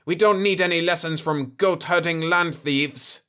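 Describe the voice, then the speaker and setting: A man's voice: fairly high pitch, unhurried, pushed and bright, smooth, pitched above the performer's own voice. A man voicing a belligerent, hot-headed leader in an audiobook story, blunt and blustering. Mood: indignant.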